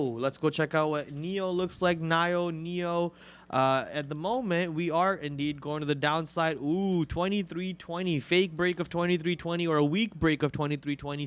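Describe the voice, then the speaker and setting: A man's voice: a little high, a bit bright, smooth. A man hosting a live stock trading show, chatting and joking casually while calling out chart levels.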